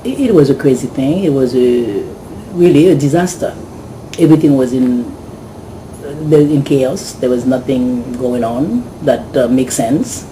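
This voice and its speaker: A man's voice: medium pitch, a little slow, rough recording. Eager Haitian-born man, hissy room. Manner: eager, with restarts. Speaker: volunteer doctor. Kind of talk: recounting experience to camera. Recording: steady air-conditioning hiss.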